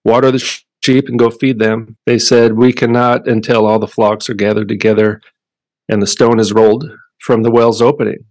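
A man co-hosting a casual Bible study talk, thoughtful and halting, with a deep radio voice. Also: dry recording, low-quality recording. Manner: relaxed, thoughtful, halting with restarts. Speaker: Bible study podcast co-host. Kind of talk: casual Bible discussion and scripture reading.

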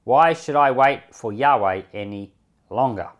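A man's voice: medium pitch, lively intonation, unhurried. A man speaking as a pastor and Bible podcast host, reading scripture expressively and commenting on it informally.

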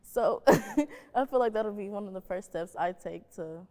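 A female student with a medium-pitched voice gives a casual panel answer. She is informal and a little halting, with long hall reverb around her voice. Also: darkish tone.

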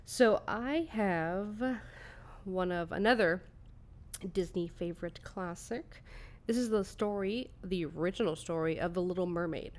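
A woman's voice: fairly low pitch, a little slow. Female podcast storyteller, casual and comedic, partly scripted, with a playful, sweary, giggly streak. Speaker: podcast co-host telling a story.

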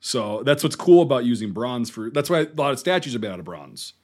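Relaxed, agreeable podcast co-host. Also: male voice, medium pitch, a little fast. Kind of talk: casual movie-review chat.

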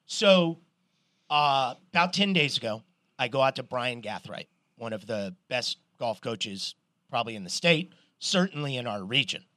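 A middle-aged man co-hosting a podcast, telling stories in an animated, swearing, casual way. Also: medium pitch, moderate pace, no echo. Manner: animated storyteller, swears, restarts a lot. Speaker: podcast co-host. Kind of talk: casual sports chat.

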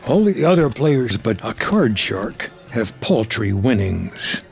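A man narrating scripted drama action in measured, terse storytelling. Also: fairly low pitch, sing-song, creaky, soft background music.

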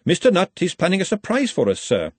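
A man voicing a sharp-tongued, defensive female kitchen worker in an audiobook, snappy but falsely sweet to superiors. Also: sits high, wildly swooping pitch, a little fast, slightly rough, no room sound, pitched above the performer's own voice, heavily acted. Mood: sweetly polite.

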